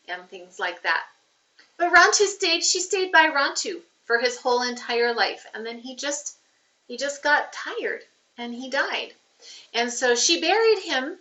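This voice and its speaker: Female storybook reader, teacherly, higher-pitched, recorded slightly away from the mic. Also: pushed and bright, far from the mic. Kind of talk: read-aloud narration of a children's novel with informal commentary. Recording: slightly dull sound, off the microphone.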